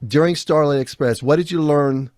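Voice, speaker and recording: A man's voice: on the high side, normal speed, a little mellow, no room sound, studio quality. An American man hosting an interview podcast, chatty and encouraging, often restarting his sentences. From United States. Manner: friendly, rambling with restarts, affirming. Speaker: podcast host. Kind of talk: casual interview conversation.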